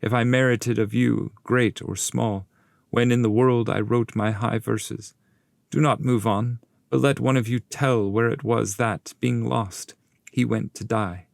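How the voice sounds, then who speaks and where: A man's voice: medium pitch, fairly even intonation, a little slow, gravelly, no echo, studio quality, voice pushed up. A man voicing a wise, commanding ghostly poet guide in stately, instructive and formal verse. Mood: commanding appeal. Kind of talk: dramatic audiobook reading of epic verse.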